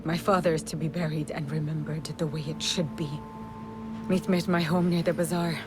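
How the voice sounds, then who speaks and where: An actress playing a proud, spirited young merchant's daughter in a video game cutscene. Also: female voice, sits low, flat delivery, a little fast, close to an everyday voice. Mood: grieving, reflective. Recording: loud music behind the voice. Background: loud music.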